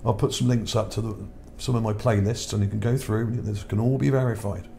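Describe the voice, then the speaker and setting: Low, mellow-voiced man, tiring voice. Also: fairly even intonation, a little fast, vocal fry, a little hiss or hum. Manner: rambling, repeats words, checks in with "okay?". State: voice starting to go. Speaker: channel host. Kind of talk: casual narration over images, reading his article.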